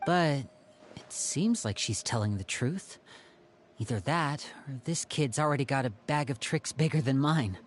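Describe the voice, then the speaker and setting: Man acting a theatrical, lively young magician. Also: mid-range voice, measured pace, slight background noise, natural voice. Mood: probing, thoughtful. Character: theatrical young male magician, protective elder brother. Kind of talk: video game voice acting.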